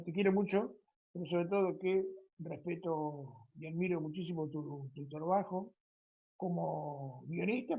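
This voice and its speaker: A man's voice: a little high, vocal fry, slightly rough, phone-quality sound. A man with an Argentine accent hosting a conversational radio programme, speaking in an informal, affectionate way. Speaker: radio host and interviewer. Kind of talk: conversational radio interview with closing book recommendation.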